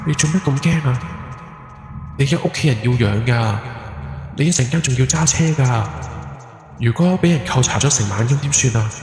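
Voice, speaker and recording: A man's voice: medium pitch, a little mellow, lightly acted. A man playing a guilt-ridden night taxi driver in his forties, telling a horror story in Hong Kong Cantonese with brooding, dramatic emphasis. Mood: shaken, tense. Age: 40s. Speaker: ghost story podcast narrator. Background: background music.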